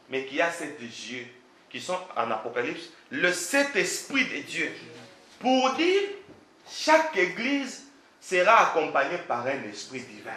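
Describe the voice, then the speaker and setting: A man's voice: on the high side, sharp tone, roomy sound. A man preaching a sermon as pastor, emphatic and full of rhetorical questions; French is not his first language.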